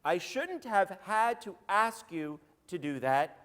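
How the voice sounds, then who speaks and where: A man, a rabbi with a slightly hoarse voice, giving a chatty, joking sermon and calling on congregants by name. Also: sits high, big pitch swings, slow pace, fairly bright tone. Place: synagogue sanctuary. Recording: roomy hall reverb.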